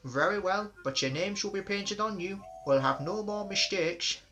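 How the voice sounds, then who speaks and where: A man voicing a pompous, stern railway boss who speaks in measured, important tones. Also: high voice, pushed and bright, voice pushed up. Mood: stern official. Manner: measured, impressive pauses.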